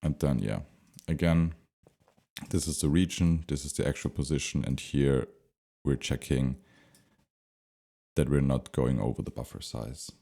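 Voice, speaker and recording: A man giving an unscripted, step-by-step software tutorial, thinking aloud with some hesitation as he explains. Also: extremely low pitch, steady tone, a little slow, vocal fry, pauses often. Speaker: tutorial presenter.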